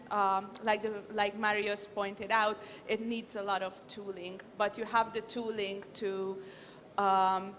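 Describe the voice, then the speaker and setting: A woman on a tech panel, explaining her view in a measured, thoughtful way. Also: medium pitch, a little hiss or hum. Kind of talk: panel discussion answer. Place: conference stage. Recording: reverberant conference hall.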